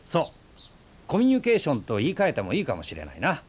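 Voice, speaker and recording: An actor playing a calm, teasing man who lectures in a relaxed way about talk and existence, in a radio drama. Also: mid-range voice, fast, breathy edge. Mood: musing. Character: easygoing philosophical man, fond of debate. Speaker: storyteller and friend of the aunt.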